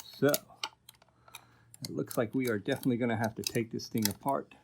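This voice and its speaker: A man narrates a casual workbench repair vlog in a mid-pitched voice. He speaks informally, thinking aloud as he works. The recording has noticeable noise and clicks behind him. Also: steady tone, moderate pace, creaky. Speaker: host of an electronics repair video.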